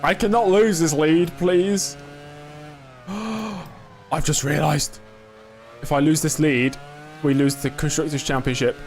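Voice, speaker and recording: A man's voice: on the high side, slow, long pauses, darkish tone, slight background noise. Chatty young man streaming a race. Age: 20s. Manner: chatty, reads and answers chat, self-talk under pressure. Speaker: live-streaming gamer. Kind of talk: casual live-stream commentary while racing.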